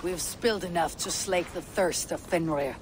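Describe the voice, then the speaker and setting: A man's voice: a little high, normal speed, a little hiss or hum, natural voice. A man playing a composed, commanding Viking clan leader in a game cutscene. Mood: resolute. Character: steady Viking clan leader, calm and resolute. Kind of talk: video game cutscene acting.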